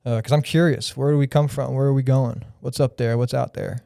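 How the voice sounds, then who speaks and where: Man in his thirties, podcast guest, smooth mellow voice, rambling and reflective. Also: mid-range voice, steady tone, a little fast, dry recording, studio quality. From Florida. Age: 30s. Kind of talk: casual podcast chat.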